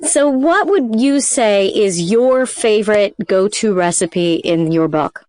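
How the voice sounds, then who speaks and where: A woman's voice: mid-range voice, expressive, slow, a little mellow, no echo. A woman hosting an interview, chatty and enthusiastic, with many fillers. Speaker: interview host. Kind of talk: casual interview chat.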